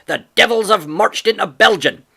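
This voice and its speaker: A blustering, boastful farmer father, as a male reader acts him, calling out loud and high with lively swings. The voice is bright and husky, brash and excited. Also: moderate pace, exaggerated voice. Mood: triumphant. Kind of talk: audiobook character voice.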